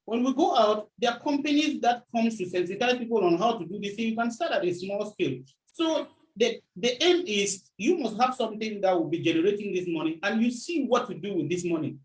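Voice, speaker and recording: A man's voice: high-pitched, expressive. Echoey young man far from the mic. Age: young adult. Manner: halting, restarts sentences. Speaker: guest. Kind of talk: casual interview talk.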